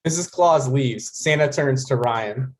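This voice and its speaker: A man's voice: medium pitch, measured pace. A man reading out stage directions as scripted narration for a table read.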